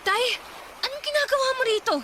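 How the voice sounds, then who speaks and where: Woman acting a very high-pitched young Filipino granddaughter. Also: fast, sharp tone, a little hiss or hum. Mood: shocked, questioning. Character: dutiful young granddaughter, a housemaid, anxious and questioning. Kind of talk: Filipino radio drama acting.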